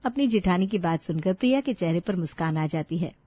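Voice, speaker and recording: A woman's voice: lowish voice, lively intonation, fairly quick, no echo, lo-fi sound. A woman reading scripted bedtime story narration as the storyteller.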